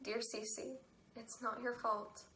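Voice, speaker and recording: A woman's voice: fairly high pitch, little pitch movement, takes it slowly, sharp tone. An actress playing a wry, regretful teenage girl in a stage drama, answering letters aloud. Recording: echoey room with light noise.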